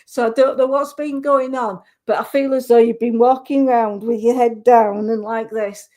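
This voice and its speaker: A woman with a Yorkshire accent giving a live psychic reading on the radio, gentle and reassuring, often restarting her sentences. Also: fairly high pitch, steady tone, normal speed.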